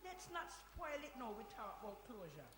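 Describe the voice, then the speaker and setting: A woman's voice: a little high, big pitch swings, normal speed. A woman acting in a radio play as a bossy, upbeat community centre organiser. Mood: firm. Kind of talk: radio drama performed before an audience. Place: hall, recorded live. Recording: echoey hall with background noise.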